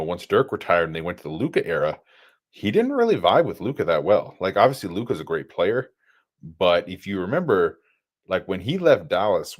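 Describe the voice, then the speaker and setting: A man co-hosting a podcast, chatting casually and building his points step by step. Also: deep voice. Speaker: podcast co-host. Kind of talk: casual sports chat.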